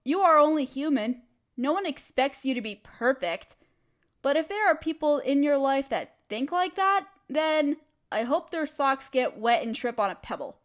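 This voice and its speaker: A woman speaks in a notably high voice, addressing the listener directly as a supportive friend would. Her delivery is a scripted comfort monologue, full of reassurance and encouragement. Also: lively intonation, moderate pace.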